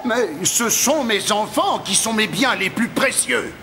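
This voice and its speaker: A man's voice: extremely high pitch, very animated, unhurried, creaky, bright, forceful tone, slight background noise, heavily acted. A man voicing a doting, gullible king in a fairy-tale cartoon, effusive and theatrical. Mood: doting, delighted. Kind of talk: animated fairy-tale dubbing.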